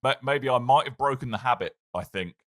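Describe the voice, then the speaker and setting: A man's voice: mid-range voice, lively intonation, a little fast, dry recording, studio quality. A man with a Birmingham accent hosting a casual podcast, joking and bantering with his co-hosts. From England. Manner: jokey, playful banter. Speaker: podcast host. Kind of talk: casual chat.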